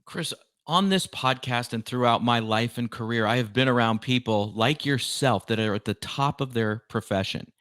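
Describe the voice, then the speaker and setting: A man's voice: mid-range voice, moderate pace, few pauses, a bit bright, no room sound, clean studio sound. A man hosting an interview podcast, talking conversationally in an effusive, emphatic way.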